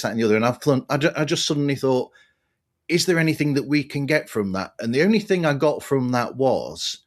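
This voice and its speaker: Man hosting a podcast conversation. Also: medium pitch. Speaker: podcast host. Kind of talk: conversational interview.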